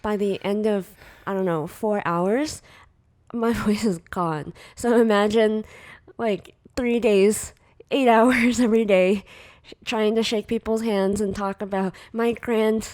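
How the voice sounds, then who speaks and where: A woman in her thirties with a quiet, soft voice, co-hosting a casual podcast chat and laughing often as she rambles. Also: mid-range voice, fairly even intonation, takes it slowly. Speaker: podcast co-host, artist.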